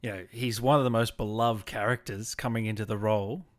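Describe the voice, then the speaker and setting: A man's voice: fairly low pitch, steady tone, brisk. Eager male livestream host. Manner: eager, brief backchannels. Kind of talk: interviewing a guest on a livestream. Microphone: video call.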